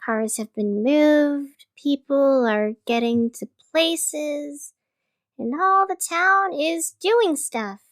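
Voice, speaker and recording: A woman's voice: sits high, extremely slow, no echo. A woman playing a wry, chatty small-town radio host, narrating casually in a scripted audio drama over music. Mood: brightly upbeat.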